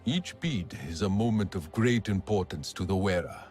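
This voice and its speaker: An actor playing a battle-hardened, proud alien clan warrior in a game cutscene, husky and grave, with formal phrasing. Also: male voice, low-pitched, moderate pace, a little mellow, soft background music, natural voice. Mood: solemn, encouraging. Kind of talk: dramatic game cutscene acting.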